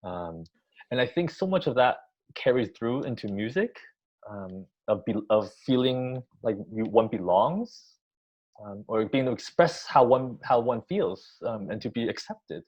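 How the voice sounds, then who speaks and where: A man, born in Taiwan, giving thoughtful interview answers with many pauses, restarts and ums. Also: mid-range voice, animated, darkish tone. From Taiwan, raised in the US. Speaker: orchestra music director. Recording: dull lo-fi sound.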